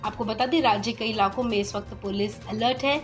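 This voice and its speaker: Woman, news presenter, slightly high voice, scripted narration, background music. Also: a little fast.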